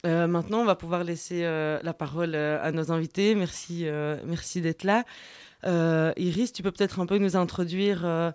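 A man hosting a talk show, pausing often with fillers as he hands over to guests. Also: fairly high pitch, steady tone, a little mellow, smooth, no echo. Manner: halting, frequent filler pauses. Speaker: radio show host.

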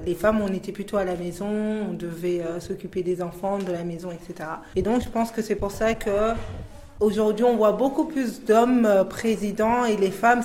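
A woman's voice: medium pitch, mellow. Female vox-pop interviewee, medium register, explanatory, thoughtful with hesitations. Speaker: street interviewee. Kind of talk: spontaneous opinion, explanatory.

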